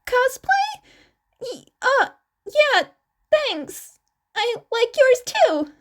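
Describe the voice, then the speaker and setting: Female reader as jumpy teenage boy hero, urgent and squeaky, very high, animated. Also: very slow, slight background noise, full character voice. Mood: flustered. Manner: strained, urgent, squeaky when flustered. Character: anxious, defensive teenage boy vigilante.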